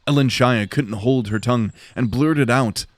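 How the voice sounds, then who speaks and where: A man's voice: medium pitch, unhurried, no echo. A man giving dramatic scripted narration for a fantasy audio drama.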